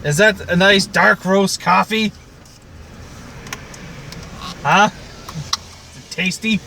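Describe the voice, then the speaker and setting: A man's voice: high-pitched, slow pace, vocal fry, pushed and bright, slight background noise. A man co-hosting a podcast in casual, teasing banter, needling his friend as he talks. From Canada. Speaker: podcast co-host.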